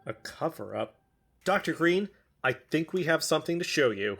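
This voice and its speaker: An earnest amateur investigator played by a woman in an audio drama podcast. The voice moves up and down in pitch as she politely probes with her questions. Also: lively intonation, normal speed, fairly bright tone, slightly rough, full character voice. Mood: probing. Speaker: podcast interviewer. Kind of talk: audio drama acting as interview.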